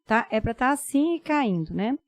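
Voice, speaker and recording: A woman hosting a podcast, talking in a brisk, chatty way and often checking in with her listener. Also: a little high, expressive, no room sound. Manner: brisk, chatty, tags questions with "né?". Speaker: podcast host, doctor. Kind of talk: conversational podcast talk.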